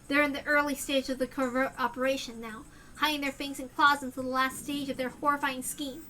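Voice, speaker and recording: A woman's voice: high voice, flat delivery, fairly quick, pushed and bright, slight background noise, poor audio. Rena, a woman voicing a paranoid teenage girl. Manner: earnest, tense conviction. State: hoarse. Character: paranoid teenage girl narrator, convinced and intense.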